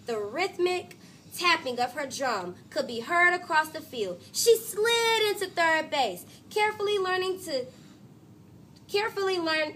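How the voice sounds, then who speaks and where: A woman teacher reading a storybook aloud expressively to a class, pausing to question pupils and echo their answers. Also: very high-pitched, pushed and bright. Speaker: third grade teacher. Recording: noisy classroom ambience.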